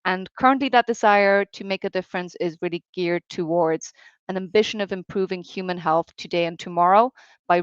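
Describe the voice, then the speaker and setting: A woman's voice: lowish voice, no echo, muffled recording. A woman from Austria giving a fluent, polished self-introduction as a panel guest. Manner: fluent, polished, list-like delivery. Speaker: panel guest, medical director.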